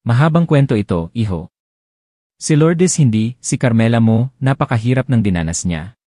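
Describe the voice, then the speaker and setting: A man voicing a kindly, worried old grandmother in an audiobook story, confiding gently and sighing. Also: medium pitch, animated, moderate pace, dry recording, clean studio sound, close to an everyday voice.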